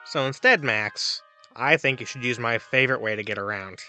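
Man playing an arch, very bright-voiced advice host. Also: medium pitch, moderate pace, soft background music. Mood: flippant, arch. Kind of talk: scripted comedic advice monologue, reading letters aloud.

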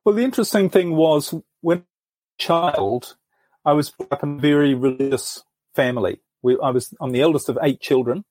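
Husky new guy, middle-aged, thinking out loud telling his story, dry close mic. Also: fairly high pitch, normal speed, dry recording. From New Zealand. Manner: earnest, thoughtful storytelling with frequent restarts. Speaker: podcast guest. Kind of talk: reflective life story in an interview.

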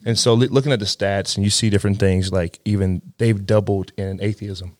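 A man in his thirties, a ministry guest in casual chat, who agrees readily and fills in with quick affirmations. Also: on the low side, fairly even intonation, darkish tone, no room sound, clean studio sound. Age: 30s.

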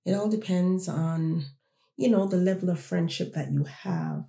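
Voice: medium pitch, a little slow, dark tone, roomy sound. A podcast host born in Jamaica and raised in Toronto, talking casually and reflectively while speaking straight to listeners. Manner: reflective, conversational, addresses listeners directly. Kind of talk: casual solo podcast talk.

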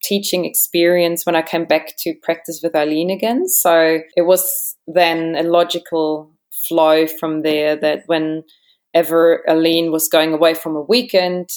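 A woman's voice: sits low, darkish tone. A woman in her forties with a German accent, telling personal stories conversationally as an interview guest. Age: 40s. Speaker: interview guest, yoga teacher.

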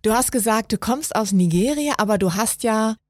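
Woman, podcast host, clear and friendly, dry close studio sound. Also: fairly high pitch, lively intonation, normal speed, dry recording. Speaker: podcast host and integration course teacher. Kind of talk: podcast interview.

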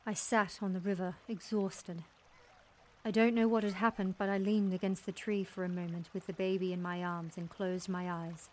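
A woman's voice: lowish voice, flat delivery, normal speed, natural voice. A woman playing a resolute young settler mother, telling her story in intense, dramatic first-person narration. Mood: weary, tense. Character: resolute young settler mother recounting a wild dog attack.

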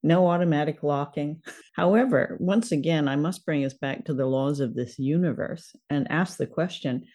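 A woman's voice: low-pitched, moderate pace, warm tone. An older woman hosting a show, chatting casually about a radio drama. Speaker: podcast host.

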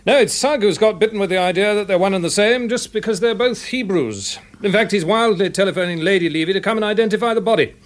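An actor playing a dry, methodical English police inspector in a radio drama, explaining things in a measured, matter-of-fact way. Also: male voice, high-pitched, close to an everyday voice. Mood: defensive, explaining. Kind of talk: classic BBC radio drama acting.

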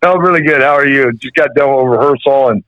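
A man's voice: mid-range voice, fast talker, no echo. Rambling male musician on a dull phone line. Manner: rambling, many restarts and fillers. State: slightly rough voice after rehearsal. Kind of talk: phone interview, reminiscing. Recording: phone call, dull and dry.

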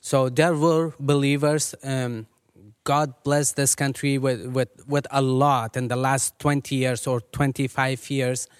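A man from Afghanistan who leads a ministry, speaking earnestly and measuredly as an interview guest with many pauses and fillers. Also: medium pitch, level tone, clean tone, dry recording, clean studio sound. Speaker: ministry leader.

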